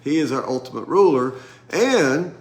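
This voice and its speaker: A middle-aged American pastor leading a casual Bible study around a table, nasal and congested from a sinus infection, talking in a halting way with many restarts. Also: male voice, a little high, animated. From United States. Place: room with a group at a table.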